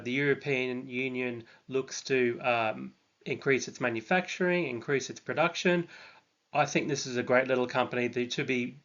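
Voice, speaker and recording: A man talks through his stock research in a relaxed, unscripted way, often pausing on ums and restarting his sentences. His voice sits at an ordinary middle pitch, and the recording sounds a little dull and lo-fi. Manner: hesitant, many ums and restarts. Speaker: stock channel host. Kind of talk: casual stock analysis monologue.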